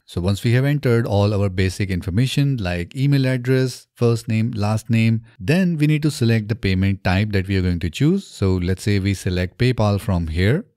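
A man in his thirties narrating a software tutorial step by step, in an instructional way, close to the microphone. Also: fairly low pitch, no echo. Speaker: tutorial presenter. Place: small indoor home studio. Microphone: close desk microphone on a boom arm.

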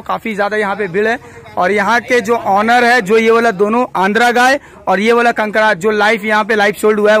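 Man vlogger, high voice, salesy repetitive patter, phone mic in a busy cattle shed. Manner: excited salesy patter, repeats himself. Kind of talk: live walkthrough vlog. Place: crowded indoor cattle shed.

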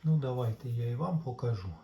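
A man's voice: medium pitch, animated, brisk. A man giving casual hands-on repair commentary, thinking aloud hesitantly as he works. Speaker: repair hobbyist presenting his own restoration. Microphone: handheld camera mic. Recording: dull sound with faint background noise.

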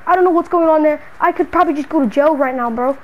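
A woman's voice: sits high, quick pace, muffled recording. A female gamer improvising role-play in a slangy, dramatic, exclamatory way. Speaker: gamer narrating a role-play short film. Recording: noisy home gaming recording.

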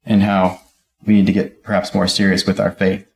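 A man's voice: low voice, monotone, normal speed, creaky, roomy sound. A middle-aged man preaching a sermon at a pulpit, reading scripture aloud and explaining it verse by verse. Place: church auditorium, speaking at a pulpit.

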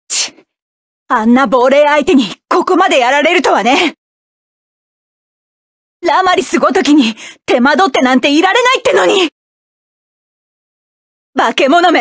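A woman voicing a proud, hot-tempered young mecha pilot, barking sharp, clipped orders in game battle lines. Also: high voice, dry recording, studio quality, exaggerated voice.

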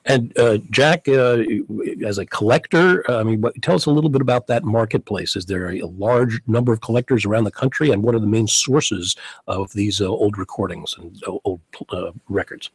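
Husky-voiced radio host asking questions. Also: male voice, on the low side, brisk, no room sound. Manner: inquisitive, many fillers while framing questions. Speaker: radio talk show host. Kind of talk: interviewing guests. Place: radio studio. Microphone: studio condenser mic.

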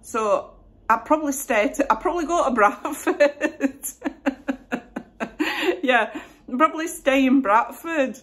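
A woman in her forties with a West Yorkshire accent, chatting to camera at home in a relaxed, self-deprecating way. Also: high voice, takes it slowly, pauses often. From Northern England. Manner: chatty, self-deprecating, lots of "you know". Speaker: YouTuber. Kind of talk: casual Q&A chat to camera. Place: home room with flowers behind.

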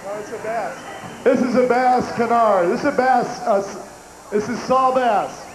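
An older man with a high, unhurried voice jokes and leads chants into a handheld mic on an outdoor festival stage. His voice booms back off the stage's PA with a long echo. Crowd noise hums behind him. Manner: shouted crowd chants, goofy puns. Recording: echoey outdoor PA with loud crowd.

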